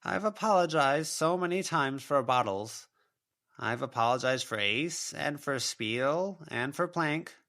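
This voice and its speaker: A man's voice: medium pitch, lively intonation, a little slow, bright, forceful tone, voice pushed up. A man voicing the ghost of an older woman, pleading, aggrieved and full of excuses, in an audiobook.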